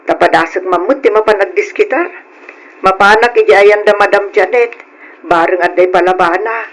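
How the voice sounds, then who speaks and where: A woman's voice: medium pitch, normal speed, lightly acted. A woman playing a worried, poor middle-aged provincial mother in an old Philippine radio drama. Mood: worried pleading.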